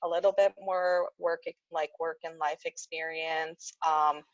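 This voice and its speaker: Chatty woman with a low voice. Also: fairly even intonation, measured pace, muffled recording. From United States. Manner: chatty, many fillers and restarts, laughs often. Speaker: interview guest, speech-language pathologist. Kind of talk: casual interview conversation.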